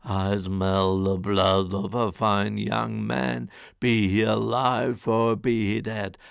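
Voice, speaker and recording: A man voicing a huge, greedy male ogre with a slow, booming growl. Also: little pitch movement, creaky, clean tone, no room sound, clean studio sound, heavily acted. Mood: menacing.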